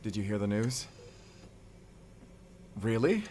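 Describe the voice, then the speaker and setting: A man acting a gentle, affectionate father and partner in an intimate audio roleplay, speaking softly and hesitantly. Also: lowish voice, big pitch swings, takes it slowly, a little hiss or hum. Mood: relieved, weary. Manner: soft, tender, hesitant.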